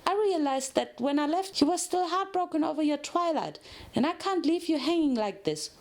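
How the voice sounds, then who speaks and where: Bubbly young cartoon mare played by a female reader, very high, excitable chatterbox. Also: normal speed. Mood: chatty, perky. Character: hyper, bubbly young pink mare prisoner. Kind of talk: dramatic fanfiction reading of a cartoon.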